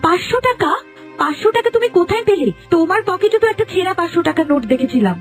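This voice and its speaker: Cartoon nagging wife, female actor: exasperated, very shrill pitch, fast-talking. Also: expressive. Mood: suspicious. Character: scolding, exasperated village wife. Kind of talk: Bengali cartoon dubbing. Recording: music under the voice.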